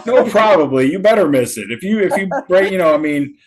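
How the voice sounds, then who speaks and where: A man's voice: sits high, expressive, normal speed. Chatty laughing St. man host. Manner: chatty, laughs often, loose rambling restarts. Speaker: meetup host. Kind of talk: casual group video call chat.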